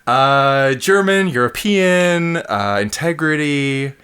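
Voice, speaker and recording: Animated podcast co-host, lively pitch. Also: slow pace, smooth. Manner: animated, laughs often, stumbles and repeats words. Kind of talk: casual comedic chat, reading a dating ad aloud.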